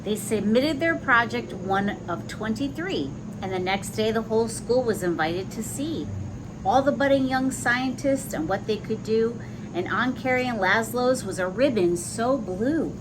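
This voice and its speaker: A woman with a somewhat high voice reads a picture book to young children. She moves between the story text and plain little explanations of the pictures. The recording has noticeable noise behind her. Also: animated, unhurried. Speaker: host reading children's picture books aloud. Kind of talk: children's read-aloud with simple asides.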